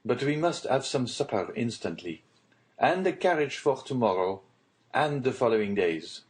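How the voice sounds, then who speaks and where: A male reader as a young gentleman, educated, formal, measured, polite, questioning, classic novel audiobook. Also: mid-range voice, sing-song, natural voice. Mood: brisk, polite.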